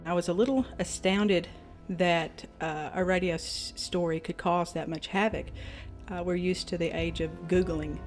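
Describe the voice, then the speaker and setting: A woman's voice: on the low side, steady tone, moderate pace, faint music behind. A woman who acts in community theater, talking in an interview. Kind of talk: interview talk.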